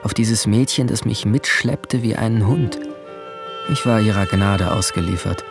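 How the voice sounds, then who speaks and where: Low-voiced, brooding teenage boy. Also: gravelly. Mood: wistful. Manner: introspective, halting in dialogue. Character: guilt-ridden, anxious seventeen-year-old boy, lovestruck and withdrawn. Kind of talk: first-person narration in a German audio drama. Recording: music under the voice.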